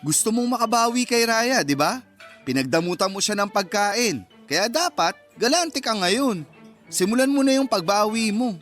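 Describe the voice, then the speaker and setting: An actor playing a bossy, meddling close friend in a radio drama, with a teasing, exclamatory manner. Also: male voice, sits high, expressive, moderate pace, fairly bright tone, faint music behind. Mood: cheeky, bossy.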